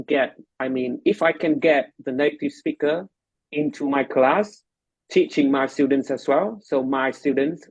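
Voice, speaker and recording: A man's voice: mid-range voice, husky, no echo, dull audio. A man with a Vietnamese accent hosting a casual chat, speaking hesitantly with frequent fillers and restarts. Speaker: host, medical lecturer and English tutor. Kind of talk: casual conversational introduction.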